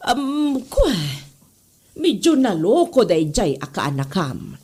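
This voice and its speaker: A woman playing an easily charmed schoolteacher in a comedy audio drama, coy and expressive, with a Northern Philippine accent. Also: a little high, takes it slowly, husky, heavily acted. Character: female schoolteacher, stern reputation but easily charmed.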